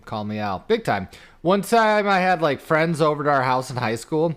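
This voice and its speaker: A man's voice: on the high side, lively intonation, moderate pace, a bit bright. A man co-hosting a podcast, chatting casually with a wry, joking, slangy manner. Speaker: podcast co-host. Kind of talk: casual banter among friends.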